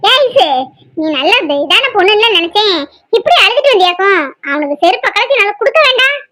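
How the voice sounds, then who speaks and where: A woman's voice: very high voice, animated, fairly quick, sharp tone, no room sound, heavily acted. A woman acting a feisty, protective village housewife in a drama, blunt and chatty. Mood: indignant.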